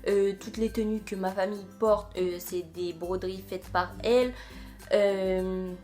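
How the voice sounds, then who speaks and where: Young french girl vlogging, lots of euhs, soft music in the back. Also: mid-range voice, fairly even intonation, a little slow. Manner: chatty, many fillers and hesitations. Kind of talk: casual video chat.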